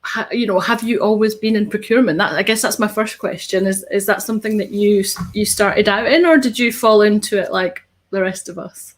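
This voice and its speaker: A woman's voice: mid-range voice, fairly even intonation, a little fast, few pauses. A woman in her thirties hosting a live online show from home over a webcam, bubbly and upbeat with many ums. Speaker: host. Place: home studio with tinsel backdrop.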